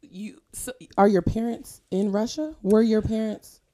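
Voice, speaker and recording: A woman's voice: mid-range voice, a little slow, mellow. A young woman hosting a podcast, chatting casually and asking curious questions with frequent giggles. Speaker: podcast host. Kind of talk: casual chat interview.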